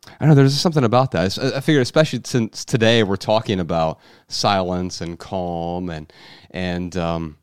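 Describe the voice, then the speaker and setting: Male podcast host, low and smooth, reflective, asks questions. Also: moderate pace, dry recording, clean studio sound. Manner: reflective, questioning, builds ideas aloud. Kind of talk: casual podcast conversation.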